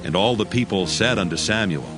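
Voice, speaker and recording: A man's voice: fairly low pitch, animated, brisk. A man narrating scripture in a solemn, scripted, dramatic storytelling style. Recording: music under the narration.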